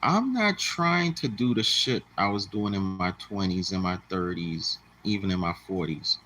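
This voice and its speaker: A man's voice: low voice, lively intonation, unhurried, pushed and bright. A man in his late forties speaking straight to his viewers in a blunt, repetitive, lecturing way. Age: 40s. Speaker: channel host. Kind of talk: casual monologue to the camera.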